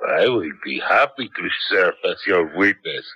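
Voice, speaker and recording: An actor playing a loyal, fearful army captain, husky and earnest, in a 1970s radio drama. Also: male voice, low-pitched, big pitch swings, moderate pace, full character voice. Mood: wary, dutiful. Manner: earnest, emotional. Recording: dull vintage radio recording.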